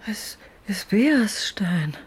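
A woman's voice: medium pitch, big pitch swings, very slow, husky, exaggerated voice. An actress playing a stubborn, bitter woman in a naturalistic German radio drama. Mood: dazed, hushed.

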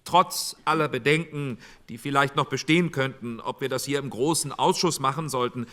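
A man's voice: medium pitch, normal speed, a bit bright, lightly acted. An actor playing a male committee chairman, formally opening a session in a businesslike manner.

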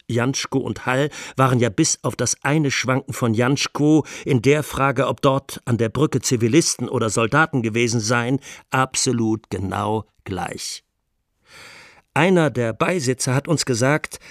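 A man playing a defence lawyer in a 1920s trial, delivering a rhetorical, deliberate and emphatic courtroom plea for a radio documentary. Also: medium pitch, natural voice. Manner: rhetorical, deliberate, emphatic, with dropped phrase endings. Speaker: defence counsel.

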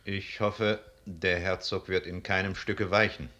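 An actor playing a grave, reserved, older veteran colonel who speaks in a measured, terse way in a radio drama. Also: male voice, deep voice, little pitch movement, bright, forceful tone. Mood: grave, guarded. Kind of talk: 1950s German radio drama verse acting.

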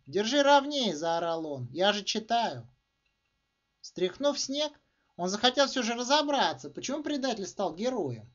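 Guy w high voice reading his short story dramatically, super lively intonation, kinda muffled lofi audio. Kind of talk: expressive literary narration. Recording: dull, muffled lo-fi sound.

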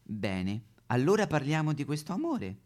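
A man's voice: a little high, very animated, moderate pace, darkish tone. A man playing a needy, sentimental, exasperated wife and mother in a satirical stage sketch. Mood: coaxing.

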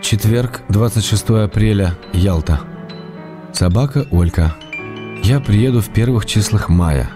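Casting: a man playing an ailing writer in midlife, wry and intimate, lowish voice, reading love letters for radio over music. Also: a little slow, close to an everyday voice. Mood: wry, affectionate. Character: ailing middle-aged writer, tender, teasing, writing love letters.

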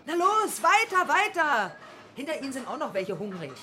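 Casting: scolding canteen woman, very high and sharp, radio drama, dishes clattering behind. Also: sing-song, normal speed, a little hiss or hum, heavily acted. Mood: impatient nagging. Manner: shrill, scolding, nagging. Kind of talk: radio play dialogue acting. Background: clattering dishes and cutlery.

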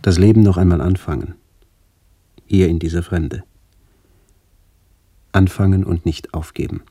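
A man with a very deep, dark voice narrates a literary radio piece. His delivery is solemn and measured, slightly husky and recorded very dry and close. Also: steady tone, no echo.

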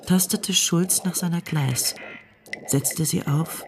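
A man's voice: fairly high pitch, slow, faint music behind. A man narrating a scripted radio drama as its storyteller.

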